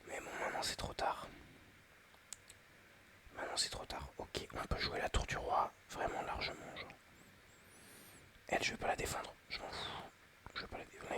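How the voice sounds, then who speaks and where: Excitable whispering man, breathy and bright. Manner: whispering, excitable, chatty with viewers. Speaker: mobile game player. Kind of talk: whispered ASMR gameplay commentary.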